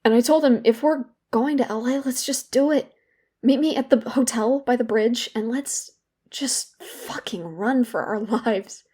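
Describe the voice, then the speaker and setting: A young woman playing a guilt-ridden, wry and bitter therapy patient in an audio drama, speaking candidly and haltingly. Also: on the high side, warm tone, breathy edge. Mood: wistful, halting. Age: young adult. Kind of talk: naturalistic audio drama dialogue.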